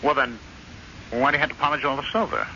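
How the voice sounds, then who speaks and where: An actor playing an uneasy, sarcastic American husband who pleads and needles, in old-time radio drama style. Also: male voice, medium pitch, fast talker, creaky, sharp tone. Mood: irritated, sarcastic. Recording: dull, noisy vintage radio recording.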